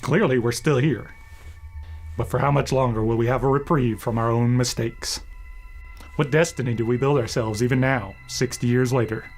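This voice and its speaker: Young male host, theatrical narration, medium pitch, soft background music. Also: steady tone, normal speed. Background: faint music.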